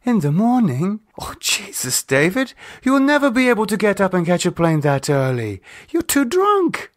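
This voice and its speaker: A man's voice: high voice, wildly swooping pitch, moderate pace, dry recording, studio quality, pitched above the performer's own voice. A man voicing a worried, caring woman in an audiobook, questioning earnestly with some reproach. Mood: reproachful. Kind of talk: audiobook character dialogue.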